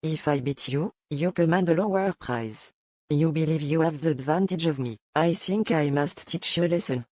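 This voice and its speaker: A woman voicing a cold, menacing male slaver in an audiobook, speaking with formal, measured control. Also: low-pitched, darkish tone, dry recording. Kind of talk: dramatized audiobook character dialogue.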